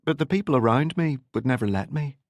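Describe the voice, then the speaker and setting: A man's voice: medium pitch, expressive, fast talker, dry recording, pitched above the performer's own voice, close to an everyday voice. A man voicing a guarded, secretive ex-militant with a Northern Irish accent in a narrated novel. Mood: guarded, confessional. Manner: evasive, feigned casualness.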